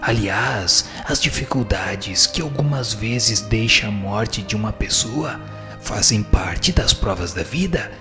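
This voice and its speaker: A man playing a wise, solemn spirit teacher who admonishes in formal, measured rhetoric in a dramatized book reading. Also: on the low side, animated, over music. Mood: stern, admonishing.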